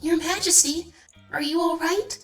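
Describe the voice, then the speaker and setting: A woman's voice: extremely high pitch, steady tone, a little slow, far from the mic. A woman playing a nervous, deferential changeling schoolteacher in an audio drama. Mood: concerned. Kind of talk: fan audio drama acting. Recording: echoey room, off mic, music underneath.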